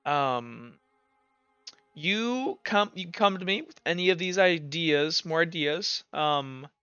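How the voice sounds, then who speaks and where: A man's voice: on the high side, animated, slow, pushed and bright, clear, resonant tone, dry recording, highs cut off, full character voice. A man voicing a blunt, hard-drinking male professor who swears and rambles with fillers.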